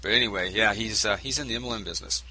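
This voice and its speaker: A man's voice: on the low side, rapid-fire, pushed and bright, husky, poor audio. A man hosting a talk radio show, chatting casually with many fillers and restarts, with a sore throat. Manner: conversational, with frequent fillers and restarts.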